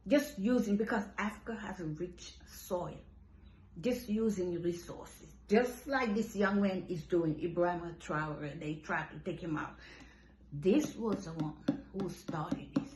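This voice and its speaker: A woman's voice: lowish voice, measured pace, a little mellow. A woman in her fifties gives an impassioned monologue. She repeats and restarts her phrases for emphasis. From Senegal. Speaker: commentator. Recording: roomy echo.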